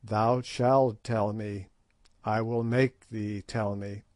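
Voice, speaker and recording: A man's voice: on the low side, fairly even intonation, takes it slowly, darkish tone, no room sound, studio quality. A man voicing a stern king who speaks in solemn, commanding tones. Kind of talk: audiobook character voice.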